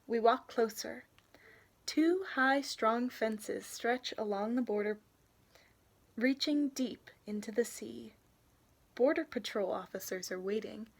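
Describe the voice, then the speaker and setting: A woman's voice: a little high, gravelly. A young adult woman reading a children's picture book aloud in an informal storytelling manner. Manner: informal, pauses to point out illustrations.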